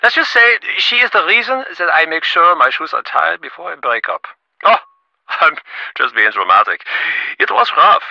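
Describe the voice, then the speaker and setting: A man's voice: fairly high pitch, big pitch swings, moderate pace, creaky, no room sound, dull audio, heavily acted. A man voicing a smug, impatient rich businessman in a video game, glib and self-important, with stammering, sarcastic delivery. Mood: glib, defensive. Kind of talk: acted game dialogue.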